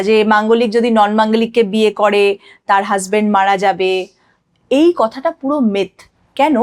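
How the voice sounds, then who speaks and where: A woman's voice: a little high, darkish tone. A woman explaining like an astrologer on a podcast, emphatically asking and answering her own questions and mixing in English phrases.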